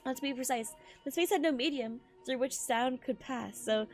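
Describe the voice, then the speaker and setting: A woman game streamer reading story text aloud and reacting live, excitable and prone to exclaiming and stumbling over words, with game music behind her. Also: high voice, normal speed.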